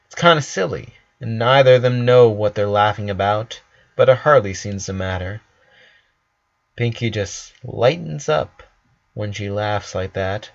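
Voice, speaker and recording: A man's voice: on the low side, vocal fry. A man narrating a story from a script, on a slightly dull recording.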